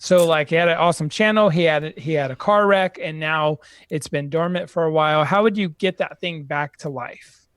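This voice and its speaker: A man's voice: on the high side, fairly quick, fairly bright tone, clean tone, dry recording, studio quality. A man co-hosting a live-stream Q&A, speaking hesitantly with many fillers and the odd joke. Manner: hesitant, filler-heavy, joking. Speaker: co-host.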